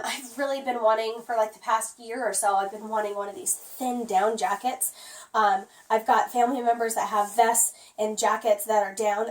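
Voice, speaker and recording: A hoarse woman who has nearly lost her voice, chatting casually through a product review with lots of "um"s. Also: on the high side, distant mic. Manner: chatty, rambling, frequent "um".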